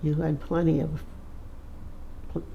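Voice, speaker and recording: A woman of about a hundred recalling old family stories in a slow, halting way, with many pauses and fillers. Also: sits low, flat delivery, vocal fry, highs cut off, slight background noise. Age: 100s. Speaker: interviewee. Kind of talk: casual storytelling.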